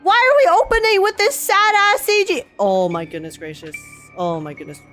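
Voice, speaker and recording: A woman streamer giving casual live reactions to a game in an excitable, playful, exclamatory way. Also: very high voice, normal speed. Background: faint game music.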